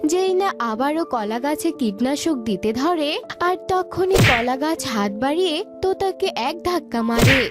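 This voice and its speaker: A woman storyteller giving scripted narration for a children's cartoon. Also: high-pitched. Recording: music behind the voice.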